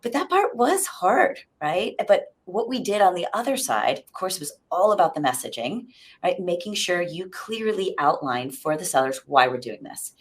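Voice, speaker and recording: A woman's voice: fairly low pitch, sing-song, normal speed. A woman speaking as an executive guest in an interview, explaining conversationally and often checking in with the listener.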